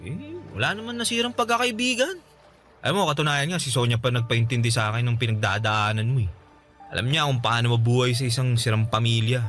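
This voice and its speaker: A man's voice: medium pitch, fast, a bit bright, faint music behind, lightly acted. A male actor playing an easygoing, forgiving young man comforting his buddy, with casual, reassuring radio drama dialogue.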